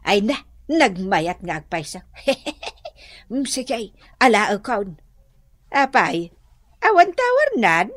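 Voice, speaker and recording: A woman's voice: medium pitch, big pitch swings, slow pace, long pauses, dry recording. A woman acting a talkative, easily annoyed village wife in a radio comedy drama, with an Ilocano accent. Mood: playful, chatty.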